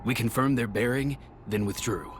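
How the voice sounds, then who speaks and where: A man's voice: mid-range voice, normal speed, a little hiss or hum, close to an everyday voice. An actor voicing a dutiful soldier in a video game, giving a formal, deferential report to his monarch. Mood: urgent.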